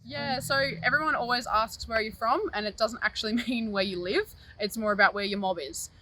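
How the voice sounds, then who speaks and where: A young Australian woman answering interview questions in a measured, patient way. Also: fairly high pitch, pushed and bright, low-quality recording. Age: young adult.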